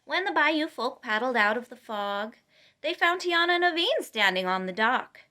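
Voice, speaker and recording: A woman reading a children's storybook aloud in an expressive, emphatic way. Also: high voice, moderate pace. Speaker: storytime reader.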